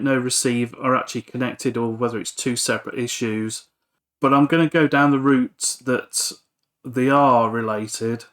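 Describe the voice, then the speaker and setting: A man casually talking through a repair in a workshop, hesitant, thinking aloud with frequent pauses. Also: mid-range voice, fairly bright tone. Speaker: electronics hobbyist and repair vlogger. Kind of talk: casual workbench talk-through. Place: home workshop.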